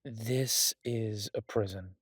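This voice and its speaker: A man's voice: lowish voice, takes it slowly, slightly rough, dry recording. A man playing a doting, restless mafia boss in an audio roleplay, talking conversationally with theatrical exasperation. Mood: exasperated, griping. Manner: conversational, exasperated, swearing, playfully dramatic. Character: male mafia boss, doting, restless, stuck baking in a safe house. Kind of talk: audio roleplay, one side of an intimate phone conversation.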